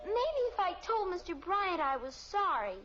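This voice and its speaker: Very high-voiced innocent little girl. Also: big pitch swings, normal speed, a bit bright, faint music behind, full character voice. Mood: wheedling. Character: innocent, wheedling little girl, mischievous daughter. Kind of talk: 1950s radio family comedy acting. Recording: muffled old radio recording, faint hiss.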